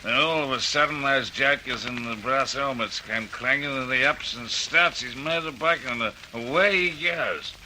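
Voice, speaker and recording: An actor playing a slightly tipsy, stubborn witness who rambles and repeats himself in a radio drama. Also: male voice, medium pitch, sharp tone, slight background noise, rough recording. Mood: boozy, indignant. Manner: rambling, repetitive, halting drunken talk. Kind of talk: old-fashioned radio drama acting.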